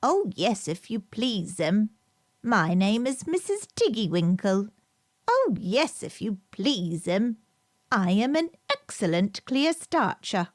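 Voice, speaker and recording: A woman's voice: medium pitch, very animated, slow pace, dry recording, studio quality. A woman voicing a stout little hedgehog washerwoman, anxious and humbly polite in an old-fashioned servant's way. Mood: polite, meek.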